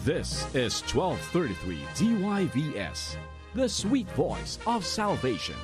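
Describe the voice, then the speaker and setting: A man giving a radio station identification in a slow, swooping announcer delivery. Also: fairly high pitch, wildly swooping pitch. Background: music bed.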